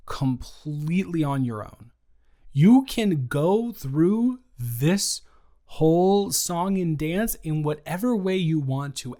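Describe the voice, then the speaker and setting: A man in an improvised audio roleplay, playing a young male best friend who talks straight to the listener in a gentle, reassuring way and is blunt but kind. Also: fairly high pitch. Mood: teasing, tender.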